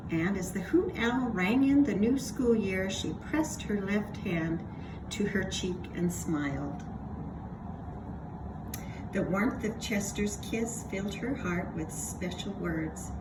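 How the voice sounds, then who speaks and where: Woman reading a kids book out loud, slow, middle pitch, noisy recording. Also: animated. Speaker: storybook narrator. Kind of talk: expressive children's story read-aloud.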